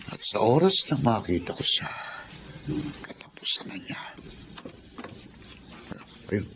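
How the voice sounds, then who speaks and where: A man's voice: sits low, heavily acted. A Filipino man playing a ruthless, cocky hitman for a crime boss in a radio-style drama, with menace in his delivery. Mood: menacing. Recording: noisy radio drama with clear background sound.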